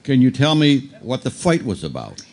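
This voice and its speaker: A man playing an earnest, deadpan bus police investigator in a live radio drama parody, stumbling over some words. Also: mid-range voice, normal speed, vocal fry, heavily acted. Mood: deadpan, questioning. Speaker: amateur performer in a live radio play. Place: live stage performance aboard a cruise ship. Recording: noisy live room recording.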